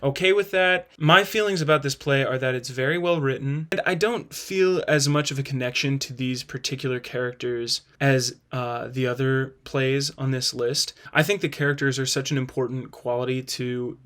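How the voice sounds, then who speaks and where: A man's voice: mid-range voice, fairly even intonation, normal speed, hardly any pauses, fairly bright tone. Casually opinionated man, thinking aloud. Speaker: host. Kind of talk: casual spoken video review.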